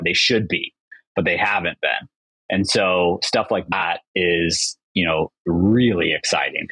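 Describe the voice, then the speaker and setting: Man, low-pitched, husky, dry sound, enthusiastic podcast guest. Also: fairly bright tone, no room sound, rough recording. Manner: upbeat, enthusiastic, talks in flowing sentences. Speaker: agency founder, podcast guest. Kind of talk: casual interview chat.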